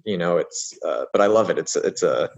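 A man's voice: low-pitched, lively intonation, fairly quick, vocal fry, slightly rough. A man raised in the United States, talking casually as an interview guest, rambling with many fillers and restarts.

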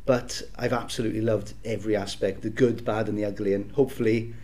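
A man in his thirties from South Wales with a Welsh accent, a podcast guest reflecting on his career in a warm, easygoing way with easy laughs. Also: lowish voice, steady tone, fast. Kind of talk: casual interview chat.

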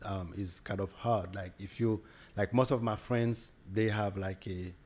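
A man's voice: lowish voice, fairly even intonation, measured pace, darkish tone. A young man with a Haitian accent, talking casually as a podcast guest, with many fillers and restarts. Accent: Haitian Creole. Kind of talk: casual conversation.

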